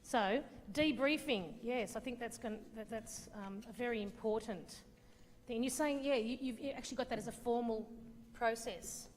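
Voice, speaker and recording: A woman's voice: on the high side, moderate pace, a little hiss or hum. An Australian woman facilitating a group workshop, praising each answer and moving the discussion on. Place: large conference hall. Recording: reverberant hall recording.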